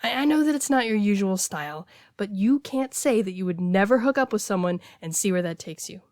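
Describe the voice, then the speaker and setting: A woman playing a casual, blunt heartbreaker friend in an intimate audio roleplay, talking conversationally with hesitant, expressive delivery. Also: mid-range voice, fairly quick, no room sound, studio quality, lightly acted. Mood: defensive, teasing. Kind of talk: intimate one-sided audio roleplay, naturalistic dialogue.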